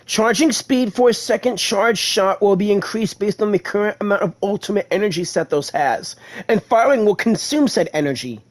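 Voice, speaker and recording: A gaming video host giving casual gameplay commentary, given to excitable exclamations. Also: medium pitch, normal speed.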